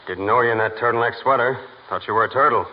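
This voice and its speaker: A man's voice: mid-range voice, fast, close to an everyday voice. An actor playing a dry, guarded, haughty committee chairman selling war bonds in a 1940s radio comedy. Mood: guarded, deadpan. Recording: muffled 1940s radio recording, faint hiss.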